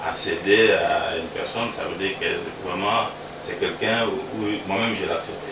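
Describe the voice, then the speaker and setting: Low-voiced man, roomy distant sound. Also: vocal fry, noisy background. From Congo. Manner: relaxed, repeats words, halting. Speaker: musician guest. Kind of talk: casual interview answers.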